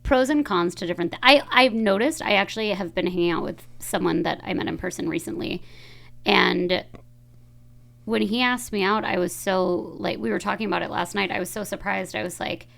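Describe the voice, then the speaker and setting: A woman's voice: mid-range voice, fairly quick, gravelly, fairly bright tone. A woman in her thirties, a podcast host talking in a casual, chatty way full of fillers. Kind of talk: casual chat.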